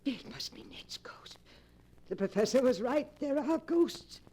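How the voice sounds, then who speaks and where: An actress playing a stubborn, superstitious and frightened old aunt in a tremulous 1940s radio drama style. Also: female voice, high voice, expressive, measured pace. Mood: terrified, defiant. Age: older. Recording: noisy 1940s radio recording.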